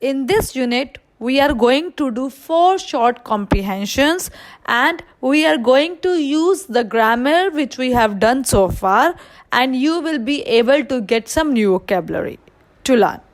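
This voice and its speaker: A woman's voice: high voice, animated, very slow. A woman with an Indian accent teaching a language lesson, explaining didactically and often posing questions that she answers herself.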